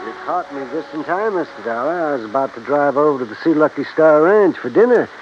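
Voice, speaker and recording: A man's voice: on the high side, expressive, brisk, faint music behind, close to an everyday voice. An American man playing a nervous, evasive country veterinarian with a hesitant manner in old-time radio drama style. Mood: affable. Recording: dull, hissy vintage radio recording.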